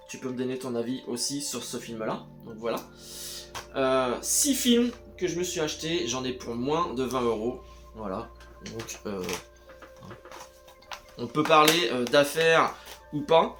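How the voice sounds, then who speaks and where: A man's voice: mid-range voice, expressive, takes it slowly, a bit bright, faint music behind. A man talking casually to camera about his home cinema purchases, hesitating often and speaking straight to the viewer. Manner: hesitant, full of "euh" fillers, addresses viewer as "tu". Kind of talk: casual unscripted chat to camera.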